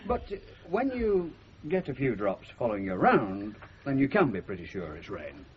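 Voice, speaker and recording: A man's voice: mid-range voice, wildly swooping pitch, normal speed. An actor playing a blustering, easily affronted middle-aged working-class father with a Northern English accent, rambling and exclaiming in a 1970s radio comedy. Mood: flustered rambling. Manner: exclamatory, rambling, homely dialect delivery. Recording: muffled, slightly hissy 1970s radio recording.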